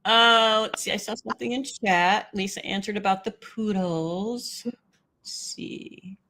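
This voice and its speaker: A woman's voice: medium pitch, measured pace, pushed and bright, slight background noise. A woman hosting a live stream, chatting casually, laughing often and reading viewer comments aloud. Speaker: livestream host.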